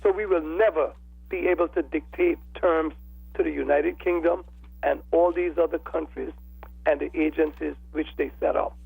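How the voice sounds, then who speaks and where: An older man with a croaky, raspy voice, giving deliberate, formal political commentary as a phone-in caller. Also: on the high side, steady tone, a little mellow, dry recording. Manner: deliberate, formal, oratorical phrasing. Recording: telephone call into radio show.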